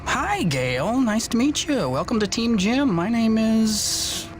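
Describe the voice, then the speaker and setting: Excitable, swoopy young hacker kid. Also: male voice, high-pitched, a little slow. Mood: awkward, flustered. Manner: slangy, rambling, excitable. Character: eager, nerdy young male hacker sidekick. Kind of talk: game cutscene acting. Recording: loud music and noise behind.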